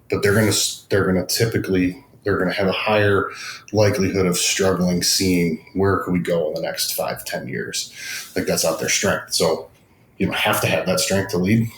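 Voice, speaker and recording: Deep-voiced man in an echoey room. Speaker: business team leader as guest expert. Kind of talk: casual explanatory business discussion. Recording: echoey room, faint background noise.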